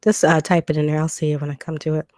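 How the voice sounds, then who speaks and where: Hoarse woman chatting on a livestream. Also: low-pitched, level tone, fast talker, darkish tone, no echo, clean studio sound. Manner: conversational, reads comments aloud and answers them, frequent ums. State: hoarse from a cold. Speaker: livestream host answering viewer comments. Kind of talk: casual live stream chat.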